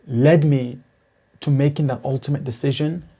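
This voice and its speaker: A man's voice: mid-range voice, fairly even intonation, normal speed, mellow, breathy edge. A young man from East Africa, a podcast guest telling his spiritual journey in an earnest, searching way. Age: young adult. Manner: earnest, searching, builds to intensity. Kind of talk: reflective personal story.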